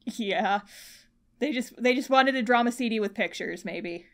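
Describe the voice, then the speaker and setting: A woman with a fairly high voice co-hosts a podcast. She speaks in short, informal reactions and asides. The style is relaxed, everyday conversation. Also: normal speed. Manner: brief informal interjections. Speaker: podcast co-host, listening and reacting. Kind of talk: casual chat, short reactions.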